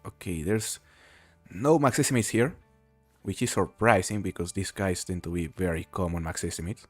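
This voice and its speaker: Low-voiced man streaming a game. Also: normal speed, faint music behind. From Mexico. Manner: relaxed, chatty, answers viewers while playing. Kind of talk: casual live-stream commentary, reading chat.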